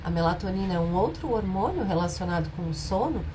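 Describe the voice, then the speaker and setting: Hoarse, nasal woman hosting a live stream. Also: expressive, quick pace, noisy background. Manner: speaks softer and lower than usual on purpose; explanatory, asks rhetorical questions. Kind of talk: informal health talk on a live stream.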